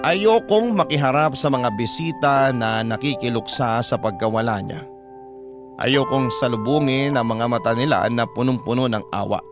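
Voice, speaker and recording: A man with a Filipino accent narrating a dramatic first-person story in a solemn, sorrowful, deliberate way. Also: medium pitch. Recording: piano music behind the voice.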